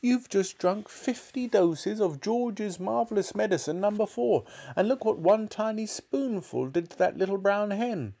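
A man's voice: high voice, expressive, a little slow, vocal fry, hardly any pauses, warm tone, pitched above the performer's own voice, heavily acted. A man voicing an anxious, exasperated middle-aged wife and mother from a storybook, crying out in alarm.

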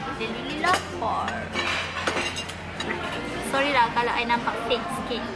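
A woman's voice: a little high, moderate pace. A woman in her twenties with a Malaysian accent chatting casually to her vlog camera, switching between Malay and English. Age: 20s. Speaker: vlogger. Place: busy mall restaurant and shops. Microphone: camera at arm's length or on table. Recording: loud music and crowd chatter behind.

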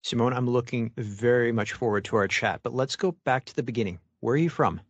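A man hosting a media podcast, reading a scripted introduction and asking interview questions in a measured, clear voice. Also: medium pitch, no echo, dull audio. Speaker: podcast host.

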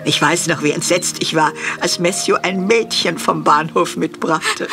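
An actress playing a prim elderly farm woman in an audio drama, dry and measured, with sentiment underneath. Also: on the low side, creaky, music in the background. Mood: reflective. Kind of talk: German audio drama acting.

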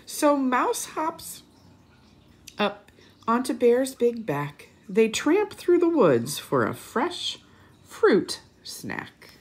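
A woman reads a children's story aloud at an unhurried pace. Her pitch swings widely, and her voice has a slightly husky edge. She pauses often and speaks directly to young listeners. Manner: animated, pausing, with asides and questions to children. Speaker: children's story reader and teacher. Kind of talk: expressive picture-book read-aloud for young children.

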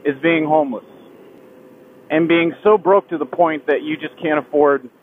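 High-voiced man on a tinny helmet mic. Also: steady tone. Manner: halting, heavy-hearted, restarts often. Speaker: motovlogger. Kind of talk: personal confession while riding. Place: on a motorcycle outdoors on a highway. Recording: tinny helmet mic with wind and road noise.

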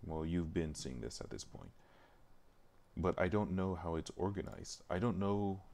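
A man's voice: sits low, lively intonation, normal speed, gravelly, a little mellow. A man livestreaming casually as he works, muttering to himself and thinking aloud. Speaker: streamer. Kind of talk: casual livestream chat while working.

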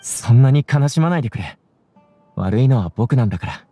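A gentle young scholar in an anime game. The voice is mellow and mid-pitched, soft-spoken and full of self-doubt, over quiet music. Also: male voice, lively intonation, fairly quick, breathy edge. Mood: wistful.

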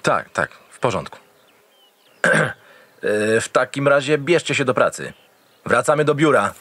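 An actor playing a gruff, cynical and experienced homicide detective in an audio drama, curt and impatient. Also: male voice, medium pitch, lively intonation, a little slow, bright, forceful tone, dry recording. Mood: brooding, businesslike. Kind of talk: audio drama acting with noir narration.

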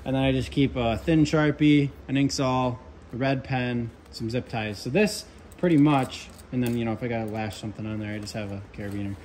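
A young man, an HVAC controls tech, chatting casually through his tool bag at a workbench, relaxed with lots of ums and asides. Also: mid-range voice, brisk, few pauses, a little hiss or hum. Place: workshop with pegboard and workbench.

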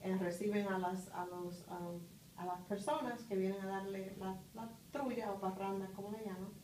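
A middle-aged woman, answering interview questions in an office conference room, pausing often with fillers. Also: medium pitch, mellow. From Puerto Rico. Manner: thoughtful, pauses with many "ah" and "este" fillers. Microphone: far phone camera. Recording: roomy, distant, faint hiss.

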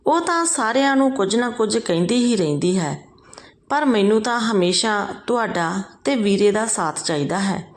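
A woman's voice: medium pitch, lively intonation, normal speed, close to an everyday voice. Woman voicing an earnest, affectionate young wife. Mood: concerned, reassuring. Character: affectionate young married pregnant sister-in-law.

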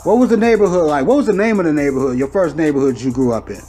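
Male podcast host, casual, higher-pitched, repetitive affirmations, remote line. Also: very fast, mellow, soft background music. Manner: loose, repeats "okay, okay" and "I got you". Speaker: interview host. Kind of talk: casual interview.

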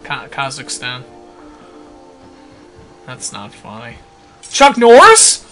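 A man co-hosting a video game show, joking casually and exclaiming excitably as he plays. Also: mid-range voice, slow, faint music behind. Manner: excitable, jokey, prone to exclaiming. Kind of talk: casual, joking gameplay commentary.

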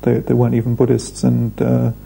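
A man talks through a documentary interview in a measured, hesitant way. His voice is dark and gravelly with little pitch movement. A faint hiss runs under the old video recording. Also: monotone. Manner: measured, thoughtful, hesitant fillers. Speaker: restaurant founder. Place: indoor room.

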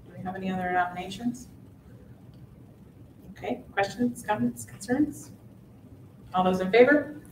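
A woman chairing a school board meeting, brisk and procedural. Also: mid-range voice, darkish tone, a little hiss or hum. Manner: brisk, procedural, laughs off slips. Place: large meeting room with long tables. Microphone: table mic from far off. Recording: echoey boardroom from far off.

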